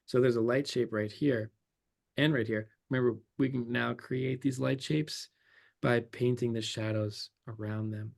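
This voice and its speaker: A man's voice: medium pitch, steady tone, measured pace, gravelly. A man teaching a watercolor demonstration, thinking aloud as he paints, his voice a little worn from losing it. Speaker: painting instructor.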